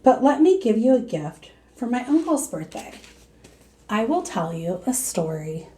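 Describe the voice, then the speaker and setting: A woman voicing a big, gentle, courteous male panda in a storybook, speaking politely and kindly. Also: on the high side, sing-song, a little slow, slight background noise, poor audio. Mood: warm, kindly.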